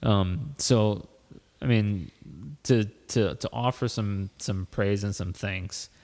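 A man with a lowish voice, talking earnestly and rambling with many restarts as a podcast host. The sound has a long, hall-like reverb. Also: steady tone, takes it slowly, a little mellow. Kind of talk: casual chat.

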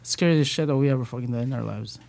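A man's voice: medium pitch, fast talker, vocal fry, a little mellow. A man from the Philippines, a band member, chatting casually in a podcast interview, rambling with many fillers and frequent swearing.

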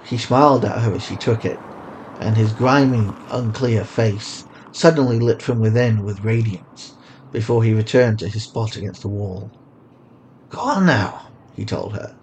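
A man's voice: lowish voice, fairly even intonation, normal speed, darkish tone, a little hiss or hum, rough recording. A man reading scripted fiction as a story narrator.

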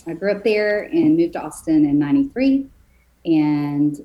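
A woman in her forties from East Texas, a podcast guest telling her story softly and letting her sentences trail off. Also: low-pitched, normal speed, highs cut off. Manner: soft-spoken, trails off quietly. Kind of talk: casual conversational storytelling.

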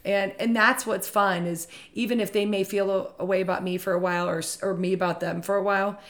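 A woman with a slightly raspy voice talks in a relaxed podcast conversation. She is chatty and enthusiastic, and she speaks as a leader in real estate. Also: mid-range voice, a little fast.

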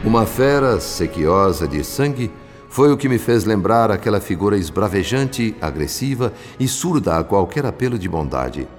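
Casting: male actor as solemn, compassionate spirit narrator, Brazilian, deep voice with sweeping intonation, formal radio drama telling. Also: expressive, moderate pace, close to an everyday voice. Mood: apprehensive. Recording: long studio reverb, music underneath. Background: music.

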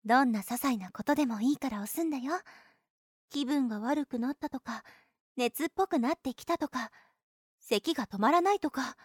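A woman playing a high-voiced, blunt, possessive young nurse. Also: normal speed, slightly rough, no room sound, studio quality. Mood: doting, protective. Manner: blunt boyish phrasing, doting toward the patient. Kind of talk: Japanese anime-style situation voice drama acting.

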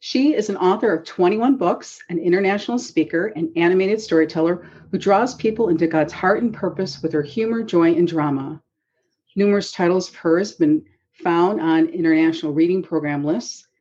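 A woman hosting a faith podcast, reading a measured introduction and then asking casual questions. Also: lowish voice, darkish tone, slightly rough, highs cut off. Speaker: podcast host. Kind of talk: scripted introduction and interview questions.

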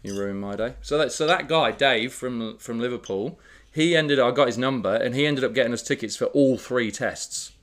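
A man co-hosting a casual podcast, telling a story in a relaxed, chatty way with frequent fillers. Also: medium pitch, normal speed. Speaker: podcast co-host. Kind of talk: casual chat.

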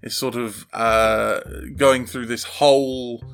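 A man talks as a guest in a relaxed interview. His pitch is average, and his delivery is halting, with repeated words as he explains his creative work. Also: clear, resonant tone. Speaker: audio drama sound designer, podcast guest. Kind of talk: casual interview chat.

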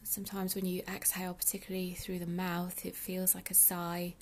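Soft woman guiding meditation, slightly gravelly. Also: fairly low pitch, completely flat delivery, a little hiss or hum. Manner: soothing, unhurried, breathy soft delivery with pauses. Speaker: meditation guide, yoga teacher. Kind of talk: guided meditation.

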